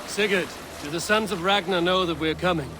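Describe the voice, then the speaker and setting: A plain-spoken Viking raider acted by a man with a slightly high voice. He sounds steady and curious in a game cutscene, with music and water behind the dialogue. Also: a little fast, natural voice. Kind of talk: video game cutscene acting.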